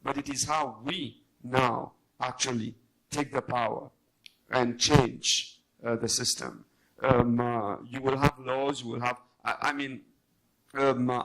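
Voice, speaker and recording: A man speaking as a journalist on a debate panel, halting but emphatic in his unscripted remarks. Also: mid-range voice, creaky, a bit bright, low-quality recording.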